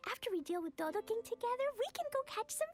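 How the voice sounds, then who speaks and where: Cutesy little girl, anime game, super high, bubbly and innocent, faint music. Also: big pitch swings, fast talker. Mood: eager. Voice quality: childlike, cutesy.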